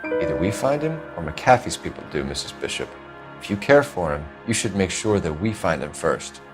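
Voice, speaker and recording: Stern, animated police detective. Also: male voice, sits low, normal speed, over music. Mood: accusing. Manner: blunt, accusatory, formal. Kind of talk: 1940s crime drama game acting.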